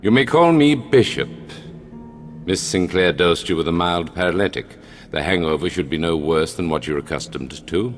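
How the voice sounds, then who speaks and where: A suave English spymaster with a very deep voice and lively, expressive intonation. He is dry, condescending and menacing, a video game villain-mentor heard in long reverb. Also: normal speed, soft background music. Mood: dryly mocking. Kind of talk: dramatic video game acting.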